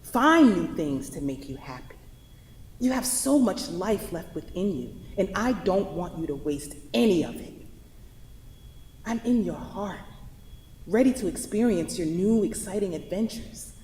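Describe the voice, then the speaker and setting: A woman's voice: lowish voice, lively intonation, unhurried, a little mellow. A woman playing a devoted wife tenderly and earnestly reading a farewell letter in a staged reading. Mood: encouraging. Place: theatre hall. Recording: echoey hall with audible noise.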